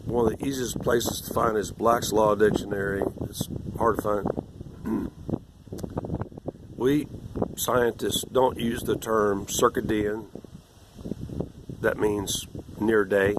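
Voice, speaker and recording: Rough-voiced man in his sixties, lecturing. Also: lowish voice, steady tone, slow pace, pauses often, noisy background. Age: 60s. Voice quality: rough, irregular voice. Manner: condescending, lecturing, meandering with restarts. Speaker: vlogger. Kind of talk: rambling monologue to camera.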